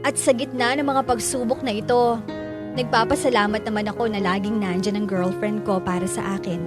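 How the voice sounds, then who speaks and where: Narrator role: wounded, resentful Filipino son, higher-pitched, dramatic first-person delivery over music male actor. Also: fairly even intonation, brisk, natural voice. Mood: bitter, pained. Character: bitter, hurt son narrating his story.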